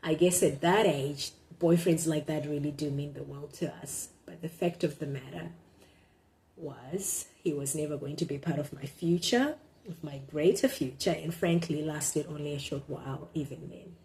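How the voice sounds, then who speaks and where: Low, mellow Zimbabwean woman. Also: normal speed, low-quality recording. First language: Shona. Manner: hesitant, many ums and restarts, reflective. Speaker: blogger reading her own posts. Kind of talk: casual vlog, reading aloud from her blog.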